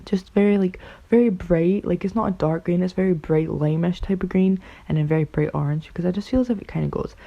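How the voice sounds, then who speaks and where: A woman with a raspy voice from being ill, rambling through casual voice-over commentary on a game build, full of fillers and unsure asides. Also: on the low side, fast talker, hardly any pauses. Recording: dull lo-fi recording.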